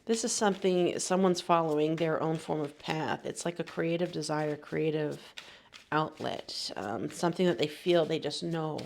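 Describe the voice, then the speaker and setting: A woman's voice: low voice, normal speed, vocal fry, a little mellow, slight background noise. A woman with a cold, sounding sniffly, giving a casual, rambling tarot reading full of slang and fillers. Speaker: tarot reader.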